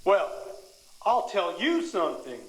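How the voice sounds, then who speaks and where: Scornful skeptic in an echoey room. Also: female voice, on the low side, very animated, measured pace. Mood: scornful, defiant. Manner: scornful, ranting. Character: defiant, scornful, distrustful female skeptic. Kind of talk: audio drama acting.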